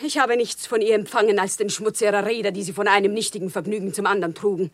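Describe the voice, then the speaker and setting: A woman's voice: medium pitch, fairly even intonation, fast talker, no echo, lightly acted. An actress playing an impassioned, naive commoner woman who pleads solemnly and fervently in a German radio play. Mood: agitated. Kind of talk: German radio play, theatrical stage diction.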